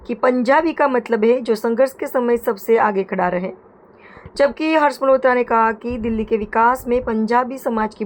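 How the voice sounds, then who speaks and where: A woman reading a scripted news report in a formal, even newsreader delivery. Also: fairly high pitch. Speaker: news anchor.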